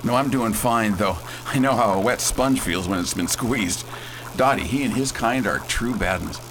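A man playing a bold scientist who leads a space crew in a radio drama, brisk, folksy and commanding. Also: lowish voice, noisy background, lightly acted. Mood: grave, determined. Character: bold, decisive space-hero scientist and leader.

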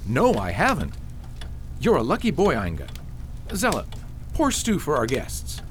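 A man's voice: a little high, huge pitch swings, measured pace, slight background noise. A man playing a plain-spoken old father in a fantasy radio drama, advising in a matter-of-fact, emphatic way.

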